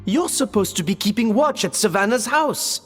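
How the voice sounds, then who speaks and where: A man voicing an earnest, expressive male character in a video game adventure, speaking in an emphatic, questioning way. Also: high-pitched, normal speed. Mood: surprised. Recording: long reverb, music behind.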